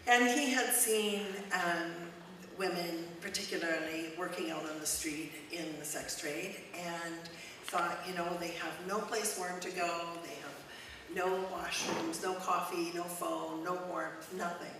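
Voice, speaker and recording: A woman speaks to a congregation in a loud, friendly, unhurried way, pausing on fillers. Her voice sits a little low. The recording is roomy and echoey, picked up from across a church hall with noise in the background. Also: steady tone, creaky. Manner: warm, conversational, with frequent ums. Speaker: guest speaker, charity executive director. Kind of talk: informal talk to a church congregation. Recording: echoey church hall, mic at a distance, noisy.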